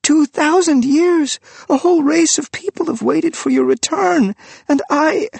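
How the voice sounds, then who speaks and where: A man's voice: mid-range voice, huge pitch swings, very slow, creaky, darkish tone, breathy edge, dry recording, voice pushed up, exaggerated voice. A man voicing a desperate young male priest who cries out in frantic, pleading outbursts. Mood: anguished.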